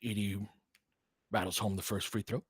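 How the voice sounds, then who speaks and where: A man's voice: on the low side, little pitch movement, moderate pace, breathy edge, no echo, clean studio sound. A man giving informal, candid basketball play-by-play and opinionated commentary as the host of a fan stream.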